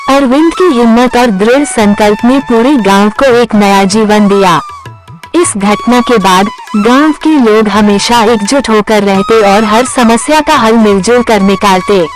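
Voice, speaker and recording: A storyteller reading a scripted moral tale in a narrating style. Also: mid-range voice. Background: background music.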